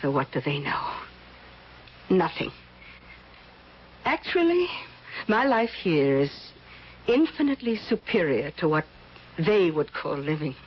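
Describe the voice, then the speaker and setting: Husky, haughty reclusive rich woman. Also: deep voice, very animated, full character voice. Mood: haughty, detached. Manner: halting, imperious, fussy. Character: reclusive, ailing, overweight wealthy divorcee, haughty and dreamy. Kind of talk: old-time radio drama acting. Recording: muffled old radio broadcast recording.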